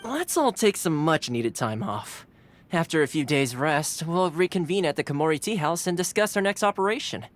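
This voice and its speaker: An easygoing young man from an anime game, acted by a man, with a very animated delivery. He is breezy, playful and a touch theatrical, with faint music behind. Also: a little high, moderate pace, natural voice. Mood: cheerful. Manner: breezy, mock-grand declarations. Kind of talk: anime-style video game voice acting.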